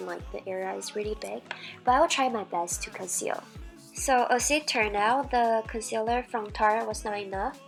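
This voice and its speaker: A young woman in her twenties with a Chinese accent, narrating a makeup tutorial in a soft, chatty and slightly self-conscious way. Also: fairly high pitch. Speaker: beauty vlogger. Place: small bright room.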